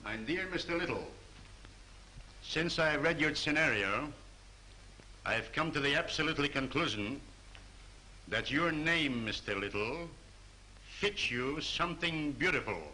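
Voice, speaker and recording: A pompous studio boss who mangles his phrases. His tone is sharp and very bright, pitched a little high. Faint hiss runs under the 1930s soundtrack. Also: animated, measured pace, pauses often, heavily acted, rough recording. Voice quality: pompous, garbled grammar. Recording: old 1930s film soundtrack.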